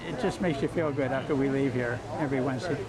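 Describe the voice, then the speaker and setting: A man's voice: medium pitch, fast talker, over a noisy crowd, rough recording. A man in his eighties chatting and joking with old friends at an outdoor cafe table. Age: 80s. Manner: joking, bantering. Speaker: interviewee. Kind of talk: casual chat.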